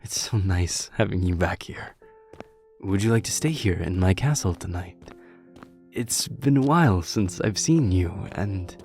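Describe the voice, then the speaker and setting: A man playing an earnest, courteous exiled warrior king in an ASMR audio roleplay, with a hushed, hesitant delivery. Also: deep voice, lively intonation, unhurried. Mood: earnest, grateful. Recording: music under the voice.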